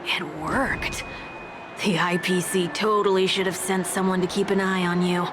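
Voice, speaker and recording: An actress playing a blunt, teasing young travelling companion in a video game, with a casual, sarcastic way of talking. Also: female voice, lowish voice, flat delivery, moderate pace, background noise, close to an everyday voice. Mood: curious, teasing. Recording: game music behind the voice.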